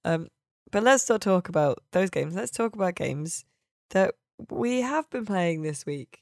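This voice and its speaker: A woman's voice: fairly low pitch, expressive, measured pace, creaky, no echo. A woman co-hosting a casual video game podcast, bantering with wry, dry humour. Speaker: podcast co-host.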